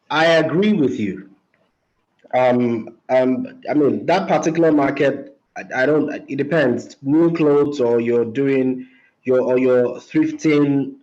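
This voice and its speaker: A man moderating a casual group discussion, measured and leaning on "you know" as he frames his questions. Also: mid-range voice, darkish tone, dull audio.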